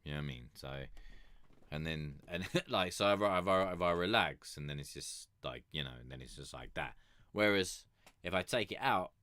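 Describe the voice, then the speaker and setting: A man's voice: sits low, moderate pace, sharp tone, no echo. A man streaming music reviews, chatting casually and rambling with lots of fillers, restarts and the odd swear word. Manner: consciously keeps his voice raised above its natural depth. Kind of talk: casual chat.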